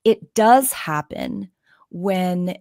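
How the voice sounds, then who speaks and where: Low-voiced, unhurried woman. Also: lively intonation, vocal fry, darkish tone, no room sound, studio quality. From Canada. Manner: earnest, emphatic storytelling. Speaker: guest, former news anchor. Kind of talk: live interview answer.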